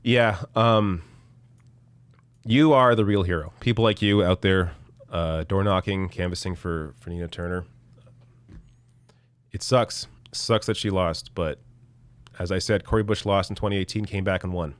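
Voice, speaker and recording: A man in his thirties, hoarse from losing his voice, hosting a casual live political stream with blunt, profane commentary and frequent restarts. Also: deep voice, fairly even intonation, unhurried, long pauses. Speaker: political show host reading viewer chat.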